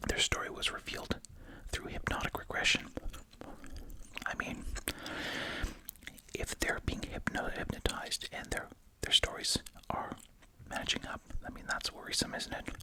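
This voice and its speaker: An ASMR host speaks entirely in an unvoiced whisper, right up close to the microphone. The delivery is hushed and informal, moving between reading aloud and musing asides, with gum being chewed along the way. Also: rough recording. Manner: chewing gum while speaking.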